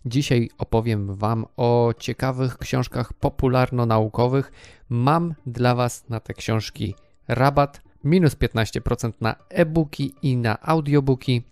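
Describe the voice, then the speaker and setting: Man, thirties, podcast host, book-review talk, crisp resonant voice, very dry sound. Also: medium pitch, measured pace, darkish tone, no echo.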